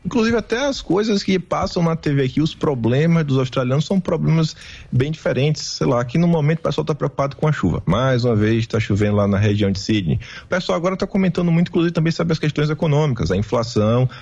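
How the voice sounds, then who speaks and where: A man from Bahia with a Bahian Brazilian accent, a remote guest giving animated, casual political commentary. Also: fairly high pitch, fast, vocal fry, slightly rough, highs cut off. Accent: Bahian Brazilian Portuguese. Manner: animated, mimics others' voices in quotes.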